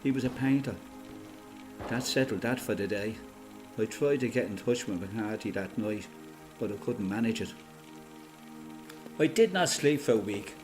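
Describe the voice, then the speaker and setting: A man with an Irish accent playing a resolute, plain-spoken freedom fighter giving a matter-of-fact, measured first-person testimony in a radio play. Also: fairly low pitch, animated, music in the background, lightly acted. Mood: matter-of-fact recollection.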